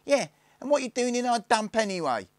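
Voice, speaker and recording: A man voicing the fierce, excitable youngest boy of a gang in a storybook, piping up in colloquial speech. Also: high-pitched, brisk, no room sound, pitched above the performer's own voice, lightly acted.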